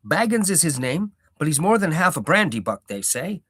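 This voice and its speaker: Guy doing a grumpy old hobbit gossip, suspicious, voice pitched up, dry. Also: big pitch swings, normal speed, fairly bright tone, slightly rough, dry recording, heavily acted. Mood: grumbling. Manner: grumbling, sceptical.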